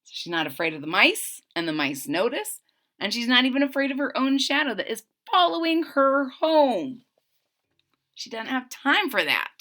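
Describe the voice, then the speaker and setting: A woman reading a children's story aloud in an expressive style, with simple retelling asides. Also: high-pitched, moderate pace.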